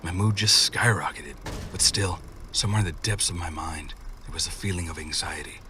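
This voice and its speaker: A man's voice: deep voice, brisk, pushed and bright, distant mic, a little hiss or hum. A man narrating a scripted first-person scary story as a horror storyteller.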